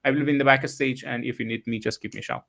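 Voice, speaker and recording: Man, session host, deep voice, gravelly, halting delivery, dry sound. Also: steady tone, a bit bright, dry recording. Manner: halting, many hesitations. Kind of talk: casual introduction.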